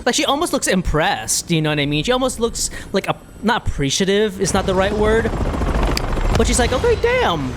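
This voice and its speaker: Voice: medium pitch, very animated, moderate pace, background noise. A game streamer giving casual live reactions to a playthrough, exclaiming theatrically and using folksy slang. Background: game soundtrack and sound effects.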